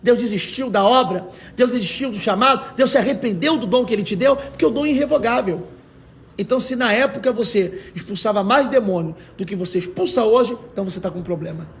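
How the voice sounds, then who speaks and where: A Brazilian man with a markedly high, raspy voice preaches as an evangelical pastor. His delivery is colloquial and emphatic, pressing the listener with rhetorical questions and exhortations in the informal manner of a livestream sermon. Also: quick pace, slight background noise. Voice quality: hoarse, raspy.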